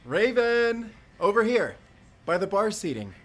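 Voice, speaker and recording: A man's voice: sits high, sing-song, unhurried, fairly bright tone, full character voice. A man acting as a friendly, chatty young dad. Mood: cheerful, playful. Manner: chatty, bashful chuckles. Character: friendly, playful single father on a date. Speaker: audio roleplay actor. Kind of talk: intimate audio roleplay acting, addressing the listener.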